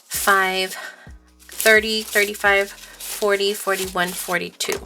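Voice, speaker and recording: A woman vlogging about budgeting in casual, informal chat, speaking in a halting, stop-and-start way. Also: mid-range voice, level tone, music in the background, poor audio. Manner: informal, halting storytelling interleaved with counting. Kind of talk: casual chat while counting cash aloud.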